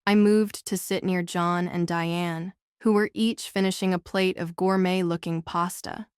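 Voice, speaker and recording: A woman's voice: fairly low pitch, fairly even intonation, a little slow, a little mellow, clear, resonant tone, dry recording, studio quality. A woman narrating a novel as a scripted audiobook.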